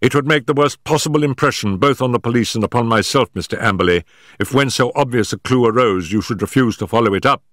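A man voicing a sharp, self-assured male detective who speaks with crisp emphasis in a storybook reading. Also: medium pitch, quick pace, no room sound, clean studio sound, voice pushed up, natural voice. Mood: commanding. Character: sharp, commanding male detective, middle-aged.

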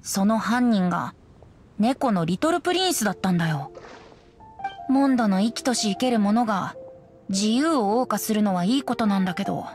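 A woman's voice: mid-range voice, lively intonation, moderate pace, darkish tone, soft background music, close to an everyday voice. A woman voicing a youthful, carefree boy bard in an anime game, with a playful, theatrical manner and polite boyish speech. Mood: explaining.

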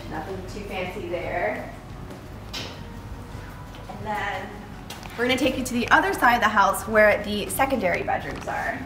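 A woman's voice: a little high, pushed and bright. A young American woman giving an enthusiastic, casual house tour as a vlogger. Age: young adult. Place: bare framed house interior, indoors. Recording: echoey empty room, distant mic, clear noise.

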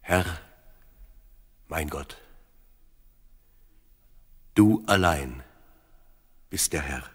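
A man's voice: very deep voice, animated, drawn-out words, pauses often, slightly rough, heavily acted. An actor playing a devout, ceremonious king in a biblical audio drama, with stately, declamatory delivery. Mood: humble, reverent.

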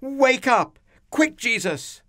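A man voicing panicked fishermen in a storybook, crying out for rescue with shrill, urgent shouts. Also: sing-song, slow pace, exaggerated voice. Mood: terrified, pleading.

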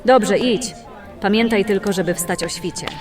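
A woman's voice: mid-range voice, normal speed, a little mellow, faint music behind, some chatter behind, lightly acted. Woman acting a practical adventurer, slightly distant. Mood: calming, firm. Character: practical, level-headed female fantasy adventurer. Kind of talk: fantasy audio drama acting.

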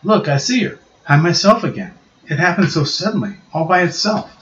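A man's voice: on the high side, animated, fairly quick, a bit bright, a little hiss or hum, pitched above the performer's own voice. A man reading a comic aloud and voicing a fretful young woman who pleads breathlessly, in a dull, roomy recording. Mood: relieved. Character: Susan, young woman, anxious and tender.

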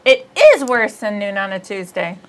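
A woman's voice: medium pitch, moderate pace. A woman in her forties from Ohio with a Midwestern accent, hosting a casual comedic chat, telling bawdy stories with lots of laughter. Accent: Midwestern American. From Ohio, USA. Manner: chatty, laughing, bawdy storyteller. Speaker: comedian host. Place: small studio set, seated indoors.

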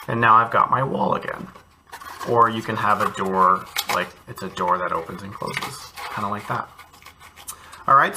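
A man's voice: mid-range voice, background noise. A man, an art educator, casually walking kids through a cardboard craft project from his home studio, encouraging and thinking aloud as he works.

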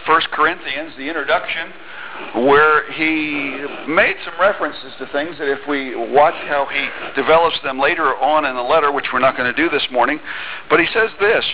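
A man's voice: a little high, dull audio, slight background noise. A middle-aged man preaching a sermon in a church on a headset mic, talking conversationally with small restarts and reading scripture aloud. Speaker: pastor. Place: church sanctuary.

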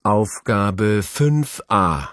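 A man's voice: fairly low pitch, animated, a bit bright, no echo, clean studio sound. A man announcing exercise numbers and reading short texts for language course audio. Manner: very slow, deliberate.

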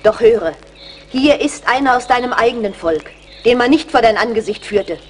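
A brave young captive voiced by an actress implores earnestly in a fairly high voice. It is formal radio play acting with faint background noise. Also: female voice, lively intonation, moderate pace, lightly acted. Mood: pleading.

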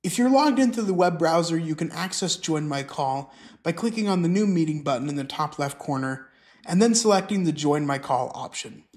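A middle-aged man presenting scripted instructional narration, explaining clearly step by step. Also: on the high side, expressive, moderate pace, darkish tone. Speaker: software tutorial presenter.

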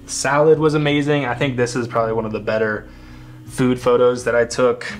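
Laid-back young male photographer, loose rambling delivery, average pitch, soft guitar bed. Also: brisk. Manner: relaxed, rambling, many fillers. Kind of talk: casual screen walkthrough. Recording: faint guitar music underneath. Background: faint guitar music.

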